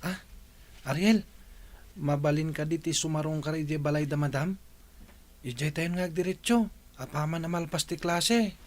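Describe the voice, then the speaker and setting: A man's voice: fairly high pitch, normal speed, pauses often, lightly acted. A young man acting a friendly, caring student in a radio drama, eager and polite.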